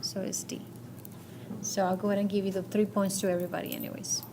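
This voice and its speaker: A woman's voice: mid-range voice, little pitch movement, quick pace. A woman teaching a math class, going over quiz answers step by step and checking with short questions. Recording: noisy classroom recording.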